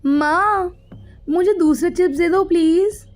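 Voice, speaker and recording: A woman's voice: extremely high pitch, slow pace, darkish tone, voice pushed up. A woman voicing a vain, spoiled young girl with a snooty, taunting manner in a moral story.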